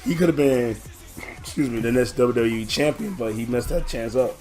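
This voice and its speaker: A man talks through a casual reaction video on his own channel, his voice hoarse and worn. He rambles, stalls on fillers and restarts his sentences at an ordinary mid pitch. Faint music sits underneath him. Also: moderate pace, darkish tone. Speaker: channel host.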